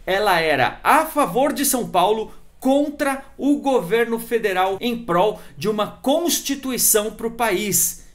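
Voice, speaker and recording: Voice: medium pitch, lively intonation, measured pace. A presenter narrating history informally, with chatty, emphatic asides to the viewer. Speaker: video presenter.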